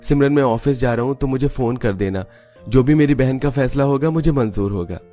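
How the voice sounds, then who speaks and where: Man acting cartoon big brother and husband, raised pitch, caring, coaxing, music underneath. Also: fairly quick, dark tone, close to an everyday voice. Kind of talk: Hindi cartoon story dialogue. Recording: music behind the voice.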